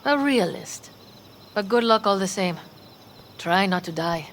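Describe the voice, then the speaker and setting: A woman playing a proud, skeptical nomad clan member in a video game, blunt and measured. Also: mid-range voice, expressive, a little hiss or hum. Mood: cool skepticism.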